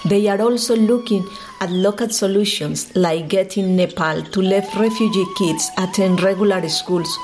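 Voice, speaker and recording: A woman's voice: mid-range voice, moderate pace, few pauses, a little mellow. Woman radio presenter over music. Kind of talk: scripted news narration.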